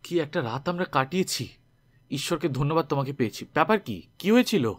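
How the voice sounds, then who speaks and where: A man's voice: on the high side, sing-song, brisk. Man playing an urgent, inquisitive young man. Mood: relieved, anxious. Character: worried, inquisitive young man, loyal brother.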